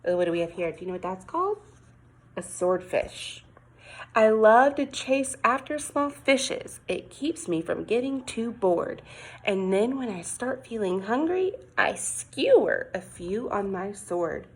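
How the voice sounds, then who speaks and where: Slightly husky woman reading to children. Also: mid-range voice, measured pace. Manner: expressive, coaxing, exclaiming over the pictures. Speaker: teacher reading a picture book aloud to young children. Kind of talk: sing-song children's read-aloud with questions to listeners.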